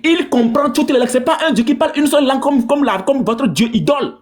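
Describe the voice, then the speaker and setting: A man from Togo, giving polemical religious commentary in an animated, mocking way, repeating phrases for emphasis. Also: extremely high pitch, fast talker.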